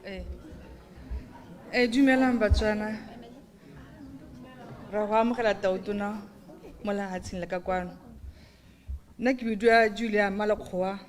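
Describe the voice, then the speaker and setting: A woman's voice: medium pitch, steady tone. A woman from Botswana with a Botswana accent, introducing herself hesitantly at a gathering. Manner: hesitant, with pauses and fillers.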